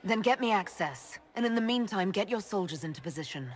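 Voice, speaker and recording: An actress playing a guarded, dry-witted heroine in a video game, with a cool and direct manner. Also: female voice, mid-range voice, animated, a little fast, a faint crowd, close to an everyday voice. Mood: cool, wry. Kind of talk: video game dialogue acting.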